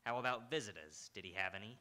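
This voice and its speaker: A man's voice: lowish voice, expressive, quick pace, bright, forceful tone. A man playing a hard-boiled, world-weary New York police detective in old-time radio noir style, terse and brooding. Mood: probing, businesslike. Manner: terse, brooding, poetic phrasing. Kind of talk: old-time radio noir acting, second-person narration.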